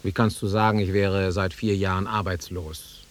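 An actor playing a nostalgic, easily offended middle-aged man with a husky voice in a naturalistic radio drama. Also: sits low, level tone, normal speed, lightly acted. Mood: bitter, irritated. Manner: wistful, insistent, easily offended. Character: nostalgic, touchy middle-aged man revisiting his childhood fields.

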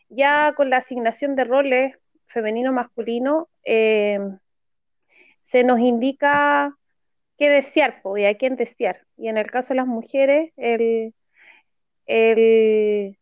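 A woman's voice: sits high, fairly even intonation, slow pace, clean tone, no echo. A Chilean woman in her forties, speaking thoughtfully in a casual discussion, often restarting her words. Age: 40s. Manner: thoughtful, hesitant with repeated words. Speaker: podcast guest, activist. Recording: dry, dull lo-fi sound.

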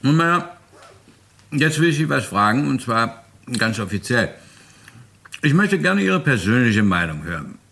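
A man playing a shrewd, genial but commanding police inspector in a German radio crime drama, asking questions briskly. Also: medium pitch, gravelly, sharp tone. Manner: brisk questioning with wry amusement.

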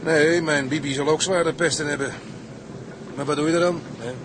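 Man playing a gruff ship's bosun over engine rumble. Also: steady tone, brisk, close to an everyday voice. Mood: wry, concerned. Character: gruff, loyal male bosun, protective of his captain. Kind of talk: radio drama acting.

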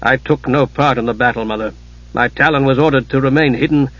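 A man's voice: mid-range voice, fairly quick, a bit bright, dry recording, close to an everyday voice. A proud, troubled young knight of fantasy fiction, as a male narrator plays him. He speaks with solemn, honor-bound intensity. The recording is very dry and a little muffled at the top. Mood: ashamed.

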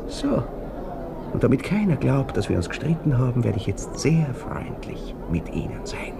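A burly Austrian baker with a gravelly, swinging voice, coolly threatening and patronising. It is a 1960s radio play with clear background noise. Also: male voice, mid-range voice, very animated, moderate pace. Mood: quiet menace. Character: burly master baker, coolly menacing, mockingly polite. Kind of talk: 1960s German radio drama. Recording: noisy old radio drama recording.

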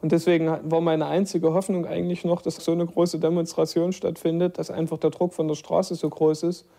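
A man's voice: fairly high pitch, little pitch movement, a little fast, gravelly, warm tone. A man recalls his own experience reflectively, in long flowing sentences. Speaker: former detainee, eyewitness. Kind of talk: spoken recollection.

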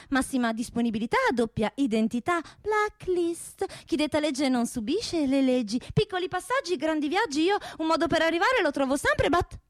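Woman playing a vain, high-voiced talking pistol. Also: darkish tone, no room sound, studio quality, close to an everyday voice. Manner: self-important, theatrical, slips into English. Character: vain, seductive female pistol, world-travelled luxury product. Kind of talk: theatrical monologue.

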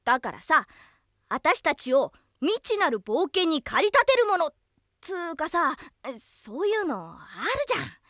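A brash young tomboy adventurer from an anime radio show. Her voice is high and bright, swinging widely in pitch, with a rough, boyish and teasing way of talking that gets bashful easily. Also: very animated, unhurried, no room sound, studio quality, heavily acted. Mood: casual, earnest. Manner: rough, boyish casual speech. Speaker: radio request show co-host. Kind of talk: scripted anime character radio talk.

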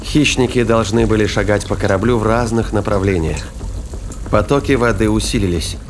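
A man's voice: lowish voice, normal speed, slight background noise. A man narrating a sci-fi thriller audio drama in a scripted storytelling style. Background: music and sound effects.

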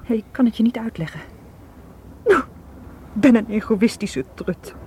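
An actress playing an anxious, self-reproaching female tour guide in a radio drama, hushed and emotional. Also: fairly high pitch, animated, takes it slowly, slight background noise.

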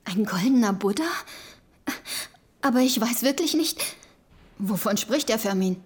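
Very husky, bewildered suspect. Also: female voice, on the high side, animated, a little slow. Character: bewildered woman under murder suspicion. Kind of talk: German radio crime drama acting.

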